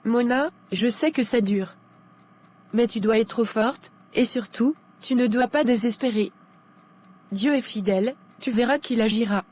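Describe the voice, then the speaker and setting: Woman acting a high-voiced, caring young friend. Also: a little slow, a little mellow. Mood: comforting. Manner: reassuring.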